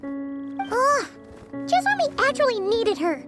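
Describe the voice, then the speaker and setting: Squeaky, very high childlike fairy sidekick. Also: female voice, very animated, takes it slowly, over music, exaggerated voice. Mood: exasperated. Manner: exclamatory, cheeky, theatrical. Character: small chirpy cheeky fairy-like companion, childlike. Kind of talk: anime game voice acting.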